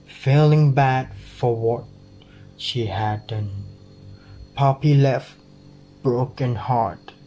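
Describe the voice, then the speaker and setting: A young student with a Thai accent narrates a storybook-style cartoon script. The delivery is very slow, at a medium pitch. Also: mellow, highs cut off, a little hiss or hum. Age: young adult.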